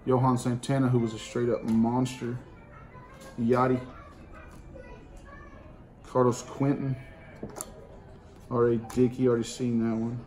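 A man's voice: medium pitch, a little slow, pauses often. A man, casually reading out baseball card names at home. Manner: plain-spoken, slangy, calling out names one by one. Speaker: card collecting channel host. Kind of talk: casual card-opening commentary. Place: dining room at home, indoors. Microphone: camera on a table in front. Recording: home room with steady noise.

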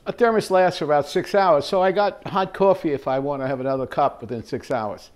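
A man's voice: a little high, fairly quick. Older male home-cook host, easygoing and rambling, recorded in a domestic kitchen on a camera a few feet away. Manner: chatty, rambling, with chuckles and fillers. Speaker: home cooking show host. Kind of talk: casual cooking demonstration. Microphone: fixed camera at mid distance.

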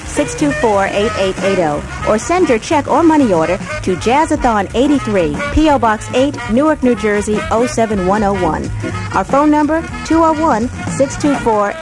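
A woman announcer reads a scripted radio promo in a fairly high, lively voice. Music plays clearly behind her, as on a 1980s radio broadcast. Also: low-quality recording.

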